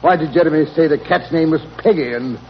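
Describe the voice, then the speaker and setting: A muffled, noisy 1940s radio recording of a man playing a bumbling British gentleman sidekick. He blusters and grumbles amiably, his fairly high voice swinging up and down as he fusses. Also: fast talker, natural voice. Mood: puzzled. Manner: fussy, blustering, indignant.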